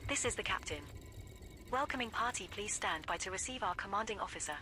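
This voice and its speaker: Woman acting a space captain lady, formal n military, talks fast, bit of noise behind. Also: medium pitch, expressive, bright, forceful tone. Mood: formal, respectful. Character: brisk, respectful female starship carrier captain. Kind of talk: scripted sci-fi game voice pack.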